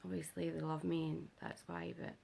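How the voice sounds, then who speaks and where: A young British woman giving a casual vlog update, her voice rough and worn because she is unwell and has not slept, rambling with lots of fillers. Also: little pitch movement, moderate pace, warm tone, slight background noise. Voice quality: rough gravelly voice. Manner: rambling, filler-heavy, low-energy. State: unwell and sleep-deprived. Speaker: vlogger.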